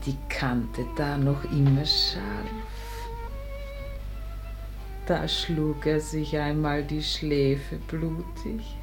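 The sorrowful spirit of a tender, weary mother. She speaks verse in a lowish, very drawn-out, hushed voice over faint violin music. It has the sound of 1940s German radio theatre. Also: sing-song, creaky, exaggerated voice. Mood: wistful sorrow. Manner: wistful, hushed, lingering. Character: grieving, weary mother's ghost, tender and reminiscing. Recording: 1949 radio recording.

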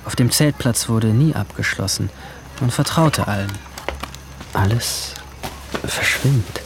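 A man's voice: medium pitch, slow pace, background noise. A young man playing a brooding teenage boy who narrates his own story in subdued, introspective short phrases. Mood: troubled. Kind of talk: first-person scripted drama narration. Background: music.

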